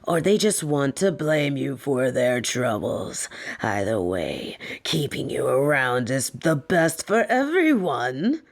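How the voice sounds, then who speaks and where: A woman voicing a soft but dominant lamia captor in an intimate audio roleplay, breathy and often whispering, condescending and possessive. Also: heavily acted. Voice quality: breathy, often dropping into whispers and creaky low tones. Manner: slow, teasing, patronizing.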